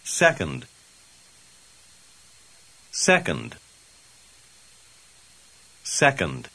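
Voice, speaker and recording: A man drills single words for a language course, speaking very slowly. His voice is bright and slightly husky, and his pitch swings widely from one repetition to the next. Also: wildly swooping pitch. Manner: repeats single words for pronunciation practice. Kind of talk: scripted pronunciation drill.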